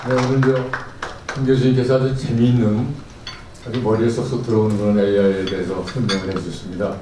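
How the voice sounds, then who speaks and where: A man's voice: lowish voice, steady tone, fast talker. A man moderating a forum, speaking in a polite, formal way. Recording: echoey hall, far from mic, audience noise.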